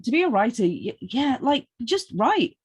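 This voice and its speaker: A woman's voice: on the high side, sing-song, normal speed, husky. A woman hosting a podcast interview, playful and chatty as she reads out listener questions. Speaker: podcast host.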